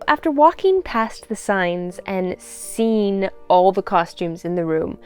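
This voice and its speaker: A woman's voice: fairly high pitch, smooth. Female vlogger, enthusiastic seamstress type, exclamatory delivery, light music underneath. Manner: gushing, exclamatory. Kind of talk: excited vlog narration.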